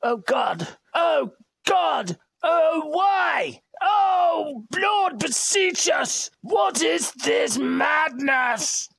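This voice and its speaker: Fussy old british lady done by a man, shrill, creaky, dragging slow, pitch all over. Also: lively intonation, fairly bright tone, dry recording, raised voice, exaggerated voice. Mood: alarmed. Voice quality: shrill, creaky, theatrical wailing. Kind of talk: comedy radio theater.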